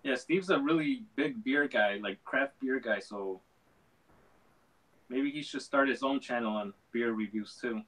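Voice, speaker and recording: A man's voice: mid-range voice, a little slow, fairly bright tone, rough recording. A man who is a guest on a casual livestream, chiming in easygoing remarks.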